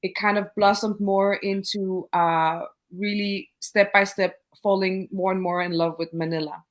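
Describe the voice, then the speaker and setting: A woman from Denmark speaks as a guest on a video call, mid-pitched and unhurried. She talks in a thoughtful, halting way, full of fillers and restarts. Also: fairly even intonation. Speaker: guest entrepreneur. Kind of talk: casual interview conversation.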